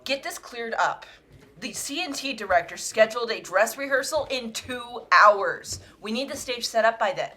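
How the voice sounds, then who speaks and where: A woman's voice: fairly high pitch, animated, moderate pace, pushed and bright. A woman playing a bossy, short-tempered theater manager who scolds and barks orders in an audio drama. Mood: exasperated.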